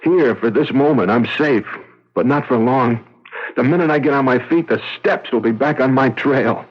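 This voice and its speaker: An actor playing a blunt, agitated husband, a driven and haunted talent agent, in old-time radio drama style. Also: male voice, medium pitch, moderate pace, close to an everyday voice. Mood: haunted, pleading. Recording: dull vintage radio recording.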